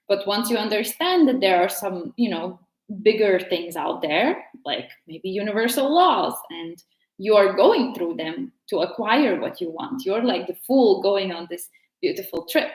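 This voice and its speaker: A woman with a Latvian accent, a tarot teacher talking gently and unhurriedly in a casual vlog, sometimes pausing to find her words. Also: mid-range voice, sing-song, echoey room, rough recording.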